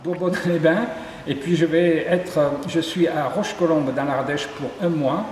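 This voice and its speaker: Sculptor in an echoey exhibition hall. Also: male voice, on the high side, fairly even intonation, normal speed, a little hiss or hum. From southern Ardèche, France. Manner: descriptive, lists details with hesitations. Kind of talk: interview, explaining his artworks. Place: tourist office exhibition hall. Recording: echoey hall with faint ambience.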